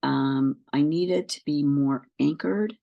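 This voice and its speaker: A woman coaching her listeners through slow breathing exercises, her voice full of deep vocal fry and a little stuffy from a head cold. She talks in a calm, patient way, walking through each step with the odd "um" along the way. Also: dull audio. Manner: calm, patient, explains step by step with frequent ums. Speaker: somatic tracking coach. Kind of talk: instructional talk to camera.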